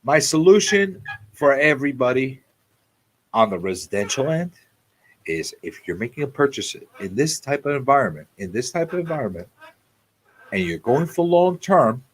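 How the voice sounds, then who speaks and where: A man's voice: mid-range voice, very animated, normal speed, husky, low-quality recording. A man in his forties hosting a casual live talk show, repeating phrases for emphasis as he talks off the cuff. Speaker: radio show host.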